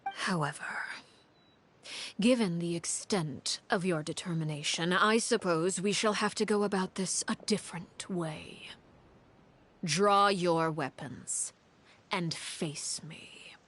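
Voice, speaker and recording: A woman playing a stern, composed head of an orphanage house in a video game, speaking formally and with measured authority. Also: fairly low pitch, fairly bright tone, breathy edge, full character voice. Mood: cold resolve. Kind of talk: dramatic video game acting.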